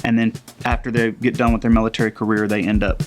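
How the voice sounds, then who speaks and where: A man's voice: on the low side, level tone, fast talker, soft background music. A man, interviewing conversationally as host with loosely built, meandering questions.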